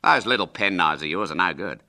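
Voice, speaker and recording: A man voicing a jovial, breezy uncle in a storybook, brisk and exclaiming. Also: sits low, expressive, pushed and bright, heavily acted.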